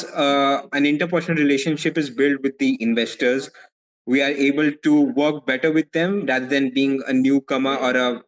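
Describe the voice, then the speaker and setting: A young man from India with an Indian accent, answering interview questions as a legal advisor in a measured way, often repeating words as he thinks. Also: medium pitch, poor audio. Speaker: foreign legal advisor, guest.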